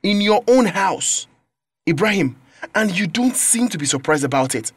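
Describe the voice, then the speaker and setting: Hot-tempered, betrayed young Nigerian. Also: male voice, high voice, sing-song, moderate pace, husky, dry recording, full character voice. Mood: angry. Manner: confrontational, exclamatory. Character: betrayed, hot-tempered young male friend demanding answers. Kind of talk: radio drama acting.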